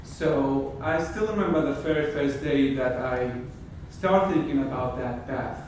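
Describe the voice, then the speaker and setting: Syrian accented guy, 30s, halting grad speech full of restarts, echoey hall, caught from far off. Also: mid-range voice, darkish tone, a little hiss or hum. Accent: Syrian Arabic. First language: Arabic. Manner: hesitant, searching for words, many restarts. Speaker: graduating surgical resident. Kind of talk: graduation speech. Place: wood-paneled hall indoors at a podium. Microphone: far camera.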